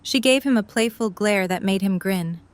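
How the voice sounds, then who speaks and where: A woman's voice: mid-range voice, moderate pace. A woman reading scripted third-person story narration for an audio drama.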